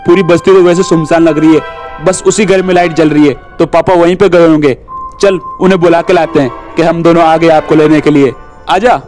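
A man voicing a calm, easygoing brother in a game role-play. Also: fairly high pitch, brisk, faint music behind, pitched below the performer's own voice, close to an everyday voice. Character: calm brother searching for father.

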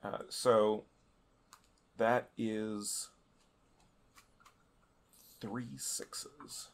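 A man narrating a tabletop game as a player, improvising in halting, deliberate phrases. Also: lowish voice, flat delivery, gravelly.

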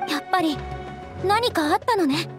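An actress playing a refined, caring young noble lady in a game, with polite, softly feminine phrasing. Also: very high voice, lively intonation, moderate pace, over music. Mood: concerned. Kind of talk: Japanese game voice acting.

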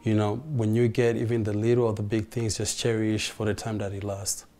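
A young man from the Democratic Republic of Congo, soft-spoken and reflective, telling his story in a documentary interview with many "like" and "you know" fillers. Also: fairly low pitch, level tone, brisk. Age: young adult. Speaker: college football player, interviewee. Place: dark interview set indoors. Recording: music bed under the voice. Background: background music.

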